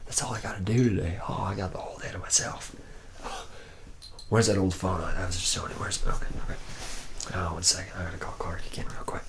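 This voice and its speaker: A man's voice: deep voice, steady tone, fast talker. A man playing a cocky, hard-drinking young factory mechanic in an ASMR roleplay, rambling in boastful, teasing bro slang. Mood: casual, amused. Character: drunken womanizing young male robot factory mechanic, cocky slacker.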